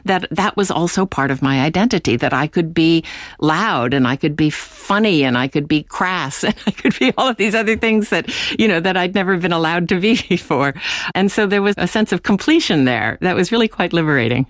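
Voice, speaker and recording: Thoughtful older American woman, low voice. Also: expressive, no room sound, clean studio sound. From United States. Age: 60s. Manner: thoughtful, measured, with fillers. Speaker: interviewee, author. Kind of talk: reflective interview answers.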